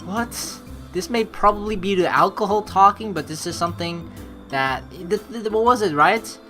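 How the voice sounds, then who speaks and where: A man giving casual, rambling gameplay commentary and reading dialogue aloud, excitable, informal and sweary. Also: high voice, normal speed. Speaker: let's player. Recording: game music behind the voice. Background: game music.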